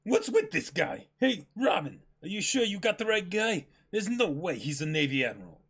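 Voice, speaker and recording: A man voicing an excitable, cowardly pirate crewmate in a dramatic comic reading, indignant and jittery. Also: high-pitched, moderate pace, breathy edge, full character voice. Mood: alarmed, doubtful.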